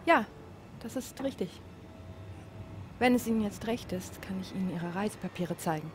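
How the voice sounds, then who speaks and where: A woman's voice: medium pitch, moderate pace, slight background noise, lightly acted. An actress playing a guarded female resistance spy posing as a courier in a war game. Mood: guarded, polite. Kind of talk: cinematic war game acting.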